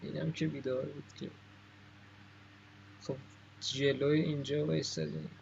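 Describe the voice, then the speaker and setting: A man's voice: fairly high pitch, little pitch movement, very fast, gravelly, darkish tone, muffled recording, slight background noise. A Persian-speaking man giving casual commentary as he streams a game. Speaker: gaming streamer.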